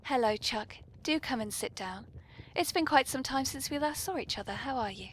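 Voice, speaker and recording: Podcast hostess: British woman, higher register, breathy and husky, playfully polite and genteel. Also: lively intonation, moderate pace, a bit bright, rough recording. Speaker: podcast host. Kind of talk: whimsical in-character hosting and polite conversation.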